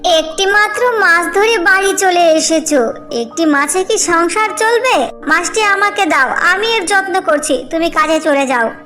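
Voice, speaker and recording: A woman's voice: very high voice, animated, a little slow, sharp tone, soft background music, voice pushed up, heavily acted. A woman voicing a discontented village wife in a cartoon story, scolding and complaining. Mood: annoyed, sulky. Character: discontented childless village wife, sharp and sulky.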